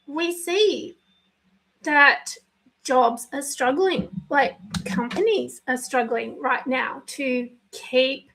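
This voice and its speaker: A woman life coach speaking live and unscripted, her voice husky today, talking slowly and choosing her words with care. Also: high voice, a bit bright, poor audio. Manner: slow, pausing, careful with words, apologetic. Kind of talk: spontaneous live video talk.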